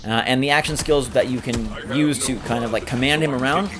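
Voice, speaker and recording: A man's voice: medium pitch, brisk. A man hosting a gameplay video, giving enthusiastic running commentary. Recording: game sound loud behind voice. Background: video game action noise.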